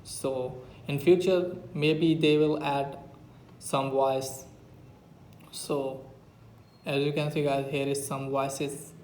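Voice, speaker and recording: Guy doing a phone app how-to, pretty flat delivery, echoey room, bit of noise. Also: medium pitch, slow pace, long pauses. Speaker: tech tutorial YouTuber. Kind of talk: step-by-step phone app tutorial narration. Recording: roomy echo, faint background noise.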